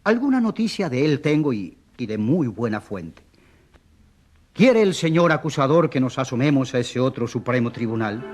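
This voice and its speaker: Actor as an animated, ironic defence lawyer. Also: male voice, medium pitch, moderate pace, close to an everyday voice. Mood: sardonic, triumphant. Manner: rhetorical, emphatic, sardonically courteous. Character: eloquent, ironic defence lawyer for a rural priest. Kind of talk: courtroom radio drama acting.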